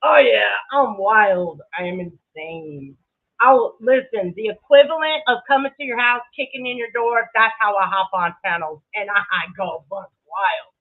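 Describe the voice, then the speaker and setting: A woman's voice: medium pitch, unhurried. A woman talking casually on a livestream in a brash, dramatic, sweary way, often laughing. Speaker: livestream creator. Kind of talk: casual livestream commentary to viewers. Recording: muffled, narrow lo-fi sound.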